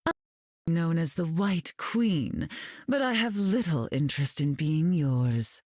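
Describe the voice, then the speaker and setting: Haughty low-voiced telepath queen. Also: expressive, slow pace, darkish tone, dry recording, studio quality, heavily acted. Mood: dry, condescending. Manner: arch, condescending, drawling. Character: haughty, flirtatious mutant telepath queen, glamorous and cutting. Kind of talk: video game voice acting.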